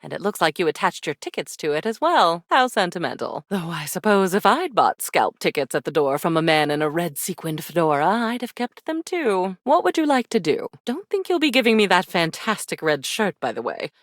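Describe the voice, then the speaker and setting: Snooty sarcastic lady spirit for a video game, lowish voice, super theatrical, close dry sound. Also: very animated, fairly quick, few pauses, dry recording. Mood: dry, appraising. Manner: arch, theatrical, dryly sarcastic, condescending endearments. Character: haughty, sardonic female attic spirit of forgotten keepsakes. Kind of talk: scripted video game character acting.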